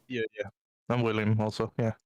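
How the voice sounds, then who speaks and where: A man's voice: lowish voice, flat delivery, fairly quick, darkish tone, dry recording, dull audio. A man from Puerto Rico whose first language is Spanish, sick and congested, calling in to debate on a live stream, hesitating with many fillers and restarts. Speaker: caller. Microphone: voice call.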